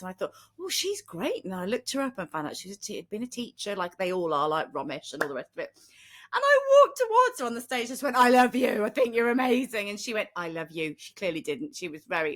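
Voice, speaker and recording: A British woman co-hosting a podcast in casual chat, speaking in a candid, self-mocking way. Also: mid-range voice. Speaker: podcast co-host. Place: room in central London.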